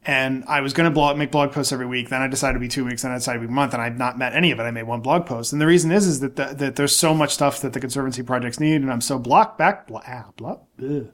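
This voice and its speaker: Fast-talking, insistent man in casual debate. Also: medium pitch. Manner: insistent, emphatic, repeats himself. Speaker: nonprofit director and podcast co-host. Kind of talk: casual debate, opinionated discussion.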